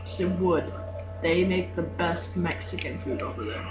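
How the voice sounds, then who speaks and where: A man's voice: on the high side, expressive, normal speed. A teenage boy chatting casually as he commentates on a game he is playing. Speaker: gamer recording a playthrough. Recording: echoey room with loud background music.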